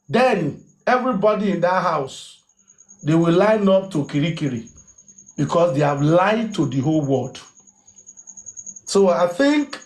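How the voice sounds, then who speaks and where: A man's voice: sits high, a little mellow, rough recording. A man making an earnest, argumentative case in a casual group discussion. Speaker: participant in online discussion.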